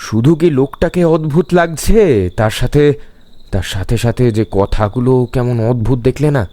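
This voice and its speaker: A man voicing a confident young man from town who brushes off fear in an animated horror story. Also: medium pitch, moderate pace, no echo, lightly acted. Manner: assured, dismissive of fear.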